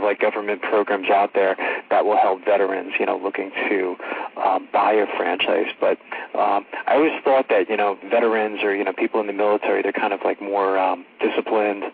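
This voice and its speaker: A man with a fairly low voice hosts an interview show, eager and affirming. He sounds thin and phone-like. A faint hiss sits under his voice. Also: creaky. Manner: enthusiastic, affirming. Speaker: radio show host. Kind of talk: upbeat interview hosting. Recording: tinny phone line with faint noise.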